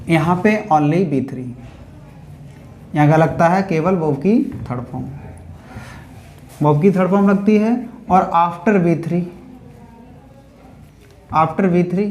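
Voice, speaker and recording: A man's voice: sits high, darkish tone. A man with a North Indian accent teaching grammar step by step, repeating key points and answering his own questions. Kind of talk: classroom lesson, explaining step by step. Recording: echoey room with faint background noise.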